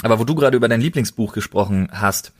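A man's voice: on the low side, fast talker. A man co-hosting a podcast, chatting casually and colloquially. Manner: colloquial, informal. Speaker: podcast co-host. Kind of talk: casual chat.

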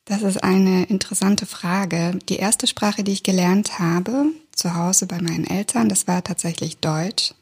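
A woman from Kazakhstan hosting a podcast interview, speaking thoughtfully and reading her introductions smoothly, recorded in a room at a language institute. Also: medium pitch, fairly even intonation, measured pace, hardly any pauses, darkish tone. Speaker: podcast host.

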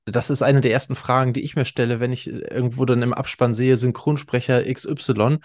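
A man hosting a casual interview, colloquial and quick to interject, talking informally to guest and listeners. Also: mid-range voice, fairly even intonation, no room sound, clean studio sound. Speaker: podcast host.

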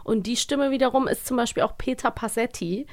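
A woman's voice: a little high, a little fast. A woman co-hosting a podcast, chatting casually and with a giggly manner about audio dramas. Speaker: podcast co-host.

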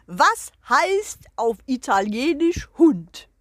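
A woman's voice: high voice, big pitch swings, slow pace, a bit bright, no room sound, studio quality, exaggerated voice. A woman voicing a proud, boisterous joke-telling rooster in a children's story, with crowing, cackling and showy delivery. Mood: gleeful, showy.

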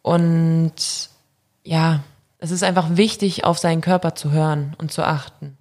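A woman with a slightly low voice answers casually as an interview guest. She is candid and informal, telling things in a loose, conversational way. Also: level tone, a little slow, a little mellow. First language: mixed German and Russian at home. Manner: candid, informal, laughs readily. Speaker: interview guest, professional handball player.

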